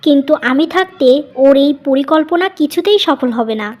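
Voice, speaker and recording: A woman's voice: high voice, moderate pace, a little mellow, natural voice. A woman voicing a selfish, resentful young wife in a cartoon, curt and accusing. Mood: bitter, dismissive. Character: resentful, selfish young wife, sharp-tongued with her family.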